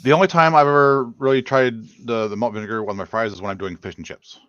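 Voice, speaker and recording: A man's voice: mid-range voice, fairly even intonation, fast, fairly bright tone, no echo, muffled recording. A man co-hosting a casual online cooking chat, easygoing and hesitant. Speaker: co-host.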